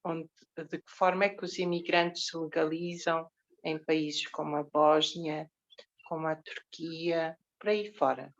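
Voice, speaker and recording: A woman's voice: sits low, fairly even intonation, unhurried. A woman moderating an online panel, asking long questions with many fillers and restarts. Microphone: video call. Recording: dull lo-fi video call audio.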